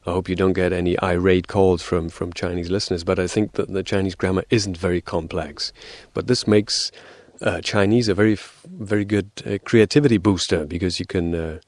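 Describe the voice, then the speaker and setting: A man's voice: low voice, steady tone, fairly quick, hardly any pauses, darkish tone, no echo. A man as a guest on a radio interview, explaining at length with frequent fillers and restarts. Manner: hesitant, many restarts and fillers; explains in numbered theories.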